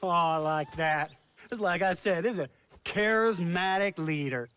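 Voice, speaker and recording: An actor playing a sly, taunting American outlaw in a western game, with a mocking, leisurely menace. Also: male voice, high-pitched, moderate pace, a bit bright, smooth. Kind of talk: dramatic cinematic game acting.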